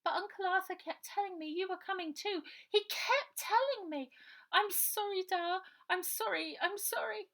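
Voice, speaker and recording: A woman's voice: very high-pitched, moderate pace, a bit bright, voice pushed up. A woman voicing a frightened, clinging eight-year-old girl in a children's storybook reading. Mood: tearful, apologetic.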